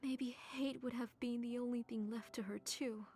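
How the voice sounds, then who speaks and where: A woman voicing a grieving mother who lost her daughter, speaking in halting, whispery, tearful bursts. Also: on the high side, steady tone, a little mellow, lightly acted.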